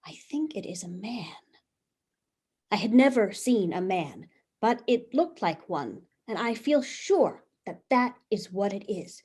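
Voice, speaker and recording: A woman's voice: medium pitch, lively intonation, a little slow, warm tone, slightly rough. A woman playing Eve, a newly made, curious and talkative first woman, reading her diary in a wondering, chatty way over a video call. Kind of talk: stage reading of a comic play.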